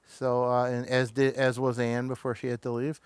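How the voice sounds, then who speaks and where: A man's voice: medium pitch, monotone, normal speed, clear, resonant tone, dry recording. A man with an American accent, hosting a casual talk show in a hesitant, wandering way, often trailing off mid-sentence.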